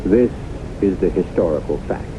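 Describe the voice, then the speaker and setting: An actor as a grave storyteller-host, narrating a 1970s radio drama in a measured, portentous manner. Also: male voice, lively intonation. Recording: noisy, rumbling, muffled 1970s radio recording.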